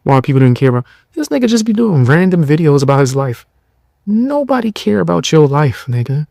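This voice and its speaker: A tired young man hosting a podcast, giving blunt, mocking commentary in loose slang with a low voice. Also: very animated, brisk, vocal fry, warm tone, dry recording, clean studio sound. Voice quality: lower voice. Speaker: podcast host. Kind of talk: casual commentary.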